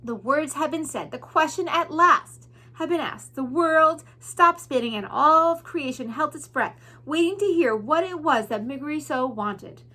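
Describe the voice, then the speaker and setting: Female read-aloud narrator for children's fiction, expressive teacherly delivery, bright, highish voice. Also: a little slow.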